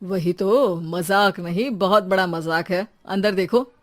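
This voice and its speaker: A woman voicing a confident, skeptical young man in an animated horror comedy, matter-of-fact and teasing. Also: mid-range voice, slow, lightly acted.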